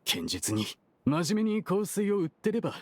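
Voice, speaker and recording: A shifty merchant from an anime game, with a highish voice that swings widely. He argues his case in brash, rough, casual speech. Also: huge pitch swings, moderate pace, a little mellow, dry recording, exaggerated voice. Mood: defensive, persuasive. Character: brash, shifty adult male perfume merchant and schemer. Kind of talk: Japanese anime game dubbing.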